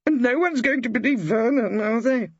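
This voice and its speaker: A man voicing an excitable, spiteful, gossipy male academic with a squawking voice, in an audiobook. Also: extremely high pitch, normal speed, no room sound, dull audio, pitched above the performer's own voice. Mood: spiteful, positive. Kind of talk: audiobook character acting.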